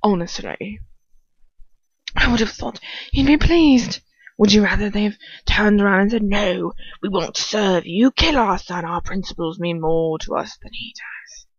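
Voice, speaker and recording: A malicious aristocratic man, as a woman reader puts him on, drawling and full of contempt. He sneers through his storybook lines at a middling pitch for a female voice, recorded close and dry. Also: a little slow, breathy edge, no echo, highs cut off. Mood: smug malice.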